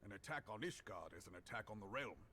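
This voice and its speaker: Casting: stern male commander from a game, low, sharp and firm, as a narrator puts him on. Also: lively intonation, fast talker, slight background noise. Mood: resolute.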